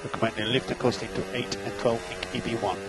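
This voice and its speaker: A man working as a race engineer, giving clipped, steady calls of gaps, lap times and instructions over team radio. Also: fairly low pitch, fast, heavy background noise.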